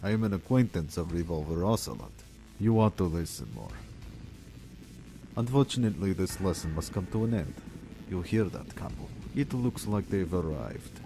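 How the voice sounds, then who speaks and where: An actor playing a smug, calculating villain who lectures and taunts in a measured way in a radio drama. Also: male voice, low-pitched, big pitch swings, gravelly, over music, heavily acted, poor audio. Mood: taunting. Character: smug, calculating male villain plotting with viral weapons.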